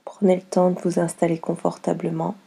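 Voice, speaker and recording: A woman guides a relaxation session in a soothing, unhurried way. Her voice is heavily creaky and soft, with a husky breathiness. The delivery stays very even, leaving long pauses between short instructions. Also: lowish voice. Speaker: yoga nidra guide.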